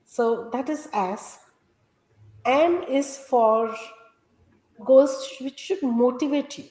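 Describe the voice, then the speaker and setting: A woman with an Indian accent, a native Gujarati speaker, explaining advice as a guest in a measured way with frequent pauses. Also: high-pitched, warm tone. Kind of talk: explaining goal-setting advice. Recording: roomy echo.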